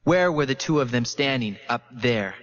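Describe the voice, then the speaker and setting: A brusque schoolboy interrogator, voiced by a man reading a novel, puts questions with studied casualness and tight control. His pitch is middling and the sound slightly dull. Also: expressive, moderate pace, big-room sound, natural voice. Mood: probing. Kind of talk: audiobook character reading.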